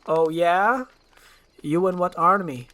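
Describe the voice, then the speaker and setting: A man voicing a gruff, sardonic ogre who relishes scaring people in a storybook reading. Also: sits high, takes it slowly, fairly bright tone, voice pushed up. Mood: defiant. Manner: sardonic, menacing.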